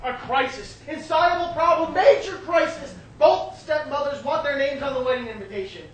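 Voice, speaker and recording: A woman's voice: high-pitched, moderate pace, close to an everyday voice. A woman playing a put-upon, exasperated bridegroom telling his troubles in a theatrical stage monologue. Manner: exasperated, pleading storytelling. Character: Ivan, put-upon, weary adult bridegroom. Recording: echoey stage recording, distant mic, noisy.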